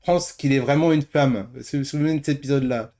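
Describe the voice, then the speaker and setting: A man's voice: medium pitch, fast talker, mellow. A man on a podcast panel chatting casually and chiming in with joking remarks. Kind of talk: casual chat with joking interjections.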